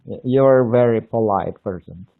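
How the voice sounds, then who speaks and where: A man from Indonesia, speaking English with an Indonesian accent in casual chat, halting and restarting often as he searches for words. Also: fairly low pitch, vocal fry. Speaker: language learner on a live video call. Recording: tinny phone-like line.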